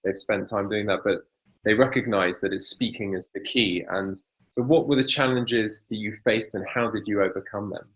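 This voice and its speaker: A man's voice: lowish voice, steady tone, normal speed, fairly bright tone. A man hosting an interview, asking questions in an interested, encouraging way. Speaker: interviewer, host. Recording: dull lo-fi audio.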